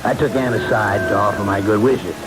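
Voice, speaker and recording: A haunted best man, played by a male actor, narrating a radio ghost story in a lowish voice. He is grave and measured, with loud music running underneath. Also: natural voice, rough recording. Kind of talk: old-time radio drama narration.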